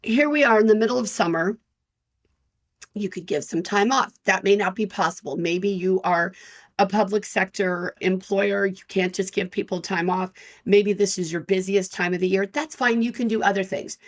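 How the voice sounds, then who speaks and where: A woman in her fifties, an employment lawyer hosting her own podcast, talking frankly and directly to listeners in a loud, energetic voice. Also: mid-range voice, moderate pace. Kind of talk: solo podcast talk.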